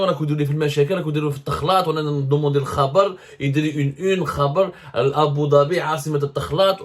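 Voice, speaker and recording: Emphatic higher-pitched Algerian man, political commentary. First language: French. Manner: emphatic, repeats phrases for stress. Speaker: host of a political commentary show. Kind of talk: opinionated political commentary addressed to viewers.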